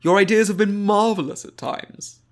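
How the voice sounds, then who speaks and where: A man's voice: sits high, normal speed, voice pushed up. A man voicing a crafty female council official in an audiobook, falsely chummy, breezy and patronizing. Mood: condescending. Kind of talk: audiobook character dialogue.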